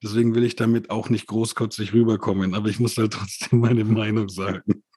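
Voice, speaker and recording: A man co-hosting a casual rap review chat, relaxed and laughing often, who grew up bilingual. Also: lowish voice, brisk, husky, dry recording. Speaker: podcast co-host reviewing rap releases.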